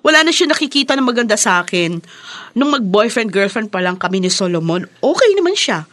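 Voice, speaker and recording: A woman's voice: medium pitch, sing-song, brisk, fairly bright tone, dry recording. An actress playing a put-upon, hesitant young Filipina mother in a radio drama. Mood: exasperated. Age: young adult. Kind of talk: Tagalog radio drama acting.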